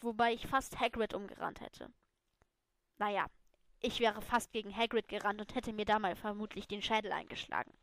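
A woman reading her own fan fiction aloud as an amateur, in expressive first-person narration. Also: fairly high pitch, no echo.

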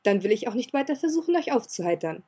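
A woman voicing a suave, polite demon butler who speaks smoothly and with faint amusement. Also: fairly high pitch, sing-song, quick pace, dark tone. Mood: courteous.

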